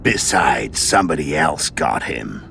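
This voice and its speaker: An actor playing a world-weary, cunning male assassin in a game cutscene, gravelly and measured, recounting things with dry irony. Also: sing-song, noisy background, full character voice. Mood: frank, weighty. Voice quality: very deep, gravelly. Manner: measured, matter-of-fact storytelling with dry irony. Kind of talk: video game cutscene acting. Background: background music.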